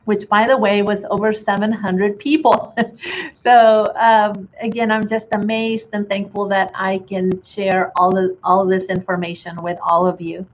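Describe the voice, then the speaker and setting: A woman's voice: mid-range voice, steady tone, unhurried, muffled recording, slight background noise. A woman from Chile with a slight Chilean Spanish accent, presenting a bookkeeping webinar in a friendly way with many fillers. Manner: friendly, filler-heavy, restarts often.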